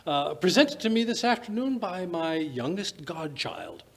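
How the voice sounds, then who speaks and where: A man's voice: sits high, very animated, unhurried, gravelly, fairly bright tone, exaggerated voice. An older man playing a genial elderly British doctor in a radio play, an avuncular storyteller who hesitates and rambles. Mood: genial, welcoming. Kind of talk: old-time radio drama acting. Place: small stage in a museum, live performance. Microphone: vintage-style stand microphone.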